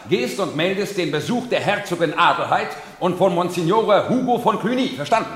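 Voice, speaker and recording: An actor in a 1970s German radio play playing an officious retainer, eager and obliging to guests and bossy with underlings. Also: male voice, sits high, lively intonation, fairly quick, a bit bright. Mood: commanding. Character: officious male retainer staging a madman's imperial court. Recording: echoey hall, hissy old radio recording.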